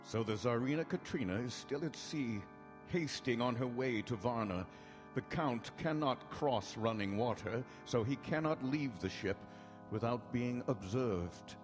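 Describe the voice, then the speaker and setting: A man performs a sober Victorian doctor narrating his journal in a radio horror play. He sounds earnest and weary, his mid-pitched voice echoing in a hall over prominent music. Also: expressive, unhurried, natural voice. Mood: tense, brooding. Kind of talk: live radio drama narration, dated journal entries.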